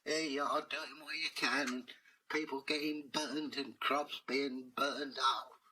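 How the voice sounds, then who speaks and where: A man's voice: level tone, slow pace, sharp tone, a little hiss or hum, rough recording. A man voicing a weary, harried young village headman, complaining in a raised, exasperated voice. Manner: exasperated, complaining, higher-pitched.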